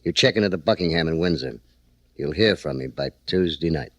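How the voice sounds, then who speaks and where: An actor playing a hard-boiled, curt criminal smuggler in a 1940s radio crime drama. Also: male voice, rumbling low, expressive, fairly quick, a bit bright, full character voice. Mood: smooth, assured. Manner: curt, tough, slangy. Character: hard-boiled, domineering criminal smuggler, smooth-talking with women. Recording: noisy old 1940s radio recording.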